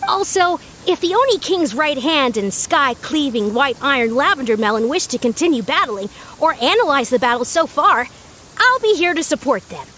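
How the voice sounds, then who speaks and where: A woman's voice: sits high, big pitch swings, moderate pace, pushed and bright, slight background noise, heavily acted. A woman voicing an earnest, devoted young beetle-battle master in a video game, speaking in formal, grandiose phrases. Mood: earnest, loyal. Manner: formal, grandiose, orderly wording. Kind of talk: animated video game voice acting.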